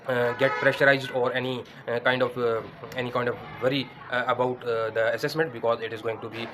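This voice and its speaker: A man's voice: mid-range voice, little pitch movement, fairly quick, noisy background. A man, teaching English grammar in a hesitant way, with many fillers and restarts. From Pakistan. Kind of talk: spoken grammar lesson. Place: indoors against a plain wall.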